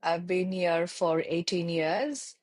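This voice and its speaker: A middle-aged woman answers interview questions over a video call. Her voice sits low and slightly breathy, and the sound is dry and close. She talks in a measured, reflective way, pausing with small fillers. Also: no room sound. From India. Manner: thoughtful, measured, with many fillers. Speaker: interview guest, tech channel lead.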